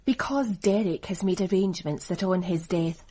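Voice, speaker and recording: An actress as a cool, husky scheming businesswoman. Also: lowish voice, moderate pace, warm tone, close to an everyday voice. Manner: cool, guarded, seductive. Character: cool, calculating businesswoman in her thirties. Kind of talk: radio drama acting.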